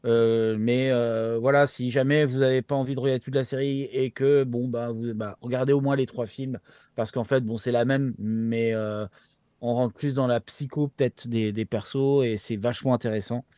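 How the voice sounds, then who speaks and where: A man's voice: mid-range voice, steady tone, moderate pace, dark tone, clean tone, no echo. Frenchman chatting casually, close dry sound. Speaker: show co-host. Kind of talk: casual talk-show chat about anime.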